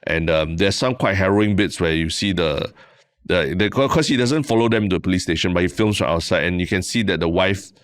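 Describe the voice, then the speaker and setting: A young man with a Singaporean accent co-hosts a casual podcast, winding through a story with restarts and a sprinkling of "lah." His voice sits very low. The recording is dry and close, with no room sound around it. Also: a little fast, no echo. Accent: Singaporean English. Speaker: podcast co-host. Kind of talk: casual chat.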